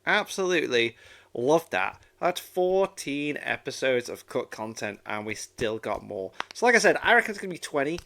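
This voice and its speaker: A man's voice: medium pitch, sing-song, moderate pace, creaky, bright, forceful tone, a little hiss or hum. Enthusiastic, rambling man chatting casually. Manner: enthusiastic, rambling, repeats himself. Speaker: reaction video host. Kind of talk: casual reaction chat.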